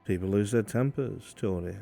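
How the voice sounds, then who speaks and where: A man playing a cynical, blunt imperial official in improvised fantasy roleplay, speaking in a dry, measured way with a gravelly voice and frequent throat-clearing. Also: on the low side, mellow, soft background music. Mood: grim, reasoning.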